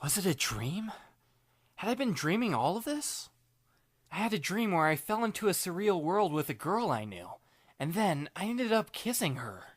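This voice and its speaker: A man reading first-person story narration in an expressive, wry, self-deprecating and dramatic way. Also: high-pitched, normal speed, slightly rough, no room sound, clean studio sound. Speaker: audiobook narrator playing the first-person lead. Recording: music bed behind the voice. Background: background music.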